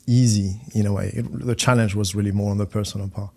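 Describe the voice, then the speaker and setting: Man, middle-aged, from France, low pitch, hesitant, repeats words, interview guest. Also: steady tone, gravelly, warm tone. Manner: halting, many repeated words and fillers. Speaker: finance executive guest. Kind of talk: conversational podcast interview.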